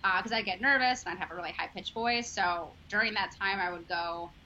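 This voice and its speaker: A sports journalist in her thirties with a Chicago accent talks in a chatty, earnest way, full of ums and likes. Her voice is bright and forward. The audio is dull and lo-fi, with a faint hiss behind it. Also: mid-range voice, fairly quick. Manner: chatty, filler-heavy, earnest. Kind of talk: casual interview chat.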